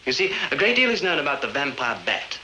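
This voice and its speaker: A man's voice: a little high, big pitch swings, fast, sharp tone, exaggerated voice, rough recording. An actor playing a learned male scholar of vampires in a radio drama, lecturing with authority. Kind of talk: period radio drama acting.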